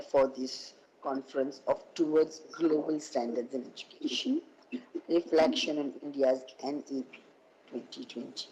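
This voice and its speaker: A woman with a husky voice, hoarse from a cold, giving a halting academic keynote over an online call. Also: low voice, warm tone, poor audio. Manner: halting, with many fillers and restarts. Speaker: keynote speaker.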